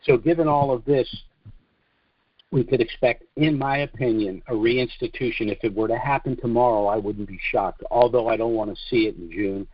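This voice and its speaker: An American man giving a monologue briefing as host of a conference call, speaking deliberately and haltingly, with emphatic drawn-out phrases. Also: medium pitch, fairly even intonation. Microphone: conference call line. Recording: dull, lo-fi conference call audio.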